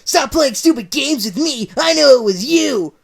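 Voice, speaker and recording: A reader voicing an angry young male hedgehog who yells and snarls accusations. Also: high voice, expressive, normal speed, vocal fry, voice pushed up. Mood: ranting. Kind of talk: comedic fan fiction reading.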